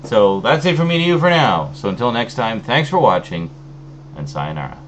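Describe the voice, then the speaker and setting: A man's voice: mid-range voice, normal speed, slight background noise. A man casually chatting as he shows off his latest film discs, with plenty of ums and restarts, a little phlegmy from a cold. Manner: relaxed, rambling, many fillers. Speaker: video host. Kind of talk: casual unboxing chat.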